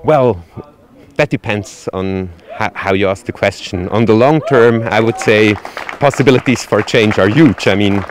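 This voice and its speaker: A man's voice: on the low side, normal speed, noisy background. A man answering questions at a street protest, arguing his points firmly with frequent restarts. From Switzerland. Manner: articulate, argumentative, with frequent "uh" restarts. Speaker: demonstrator interviewed at a protest.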